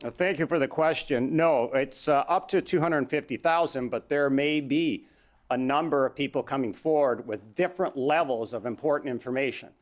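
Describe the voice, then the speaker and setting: A man with a Canadian accent, a police chief giving a formal statement at a press conference, measured and deliberate. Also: mid-range voice. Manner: measured, reads numbers out slowly.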